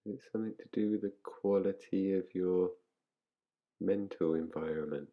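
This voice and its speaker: Deep voiced guy doing a guided meditation, really slow n calm, bit husky. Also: narrow, tinny audio. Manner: calm, unhurried, soothing delivery with long pauses. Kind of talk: guided relaxation, yoga nidra meditation.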